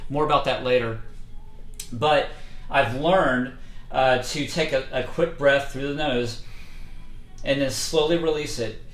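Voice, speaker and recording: Male vocal coach, mid pitch, casual livestream lesson full of fillers, roomy echo. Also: a little slow, long pauses, a little hiss or hum. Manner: relaxed, conversational, many fillers. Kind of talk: casual instructional talk. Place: indoors, livestream setup.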